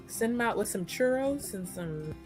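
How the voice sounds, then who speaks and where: A woman's voice: mid-range voice, expressive, moderate pace, over music. A woman chatting casually as a player in a tabletop roleplaying game. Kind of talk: casual chat.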